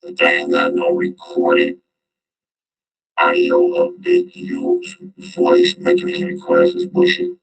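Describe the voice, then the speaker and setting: A man's voice: extremely low pitch, huge pitch swings, slow pace, vocal fry, dull audio, loud music behind the voice. A man giving off-the-cuff reaction commentary in an informal, slangy way, repeating himself for emphasis. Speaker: host commentator.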